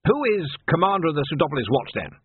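A man voicing a pompous, blustering old police captain, doddering and slow-witted, in an audiobook. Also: fairly high pitch, animated, breathy edge, no echo, narrow, tinny audio, pitched above the performer's own voice. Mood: indignant. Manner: pompous, doddering, ends remarks with "what?". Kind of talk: audiobook character acting.

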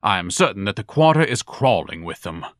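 A man's voice: deep voice, sing-song, fairly quick, a bit bright, no echo, clean studio sound. Man doing a pompous, bellowing eccentric. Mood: haughty. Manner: bombastic, grandiloquent, scolding. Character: pompous huge eccentric man, lofty and indignant.

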